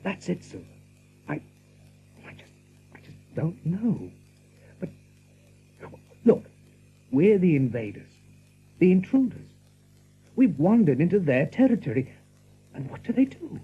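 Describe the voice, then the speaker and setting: Worried young rabbit chief, lightish high voice, hesitant, earnest, muffled audio-drama recording. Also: male voice, big pitch swings, measured pace, pauses often, slightly rough, full character voice. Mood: troubled. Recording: muffled old audiobook, faint music.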